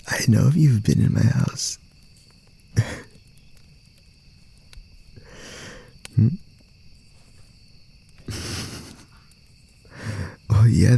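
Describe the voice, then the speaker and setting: Man playing a slow, husky, whispery boyfriend. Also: exaggerated voice. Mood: playfully earnest. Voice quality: soft, breathy, husky, gravelly low murmur. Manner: unhurried, rambling, reassuring, with pauses. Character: gentle, teasing young boyfriend comforting a scared partner. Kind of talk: intimate ASMR boyfriend roleplay.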